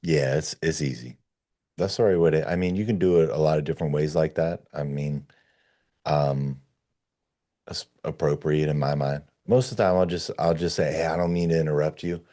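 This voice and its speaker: A man's voice: rumbling low, fairly even intonation, moderate pace, warm tone. A man leading a discussion, giving advice in an informal, plain-spoken, conversational way. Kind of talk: casual coaching conversation.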